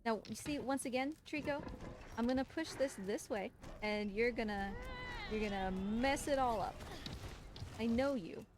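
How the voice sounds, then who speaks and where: A woman with a somewhat high voice gives casual live commentary as she plays a game. She speaks in a playful, exasperated way, as if scolding a wayward companion. Clear game ambience and noise sit behind her voice. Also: normal speed. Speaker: speedrunner streaming live for a charity marathon.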